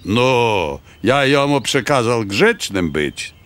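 An actor playing a blunt, folksy Russian major in a radio play, speaking halting, foreign-tinged Polish. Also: male voice, mid-range voice, sing-song, fairly bright tone. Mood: blunt, matter-of-fact.

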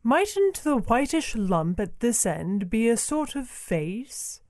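A man voicing a genteel, self-satisfied female elephant in a storybook, speaking with measured, politely condescending thoughtfulness. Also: very high voice, sing-song, no echo, clean studio sound, pitched above the performer's own voice, heavily acted. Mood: genteel, mild. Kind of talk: storybook character voice.

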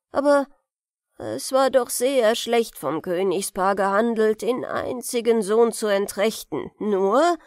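A woman voicing a humble old beggar in a fairy-tale audiobook, hesitant and deferential, with drawn-out words. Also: medium pitch, creaky, no room sound, studio quality, heavily acted. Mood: earnest.

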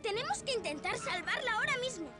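A woman voicing an earnest, excitable schoolboy wizard in a video game, urgent and exclaiming. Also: very high voice, quick pace. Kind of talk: video game character acting. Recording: game audio with music behind.

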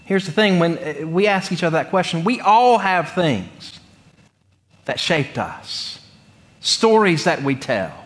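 Animated man preaching. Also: a little high. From North Carolina, United States. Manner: conversational, humorous, with deliberate pauses. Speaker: pastor. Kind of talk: sermon with personal storytelling. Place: church sanctuary, speaking from the pulpit.

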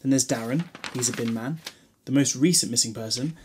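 A man's voice: mid-range voice, brisk, darkish tone, a little hiss or hum, lightly acted. A man playing a chatty, eccentric time-travelling alien in an audio drama, whimsical and breezily distracted. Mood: chatty, breezy. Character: eccentric, chatty, newly regenerated male Time Lord.

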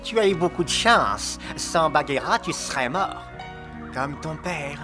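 Lowish, swooping cartoon animal. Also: female voice, animated, measured pace. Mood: grave. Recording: loud music behind.

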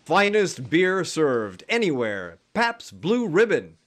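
A man's voice: fairly high pitch, huge pitch swings, takes it slowly, faint music behind. A man announcing in the style of a 1950s radio show and its sponsor ads.

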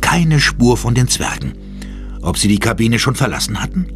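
A man's voice: mid-range voice, sing-song, moderate pace, music in the background. A man narrating an audio drama in a dramatic, scripted style.

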